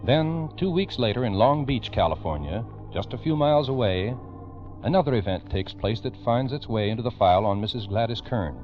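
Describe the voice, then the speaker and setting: A man's voice: mid-range voice, lively intonation, a little fast, soft background music. A man narrating a scripted old-time radio crime story in a formal, dramatic way. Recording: muffled old radio recording.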